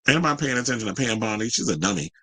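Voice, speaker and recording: A man's voice: mid-range voice, animated, racing, bright, forceful tone. An American man hosting a news and gossip show, reading stories and adding blunt, profane, sassy asides in colloquial speech.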